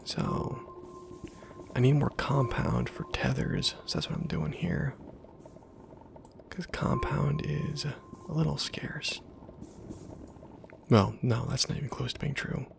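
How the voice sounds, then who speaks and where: A man softly whispering casual, rambling ASMR commentary over a video game, with a breathy, husky voice. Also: medium pitch, very animated, slow, long pauses. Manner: soft-spoken, rambling to himself. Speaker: ASMR gaming host.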